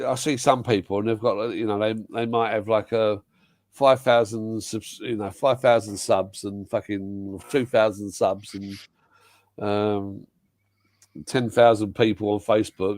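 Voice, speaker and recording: A man's voice: lowish voice, fairly even intonation, moderate pace, darkish tone, no room sound. An older man from the UK hosting a casual live-stream chat, blunt and swearing freely, often restarting his sentences. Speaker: host.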